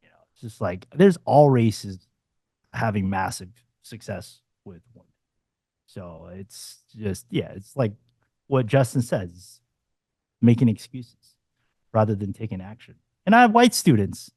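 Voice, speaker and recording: Dating coach, male, 40s, blunt and profane, mellow tone, dry close sound. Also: lowish voice, big pitch swings, a little slow, pauses often, breathy edge, no echo. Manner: blunt, swearing, punchy asides. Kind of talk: casual panel discussion.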